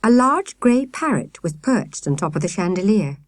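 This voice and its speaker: A woman narrates a children's story. Her mid-pitched voice moves expressively, with lively intonation, and the recording is close and dry. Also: normal speed, no echo. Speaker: audiobook narrator.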